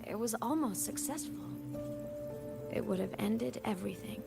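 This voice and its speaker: A woman's voice: mid-range voice, normal speed, creaky, over loud music. A woman voicing a young video game heroine with a theatrical, dramatic delivery and wounded bravado. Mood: brooding, dramatic. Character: young heroine, vengeful toward her foe, wounded bravado.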